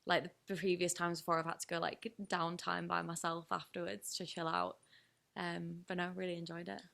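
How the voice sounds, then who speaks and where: A woman's voice: fairly low pitch, little pitch movement, brisk, gravelly, fairly bright tone. A young woman, a DJ chatting casually and informally as an interview guest. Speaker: DJ being interviewed. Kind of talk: casual chat.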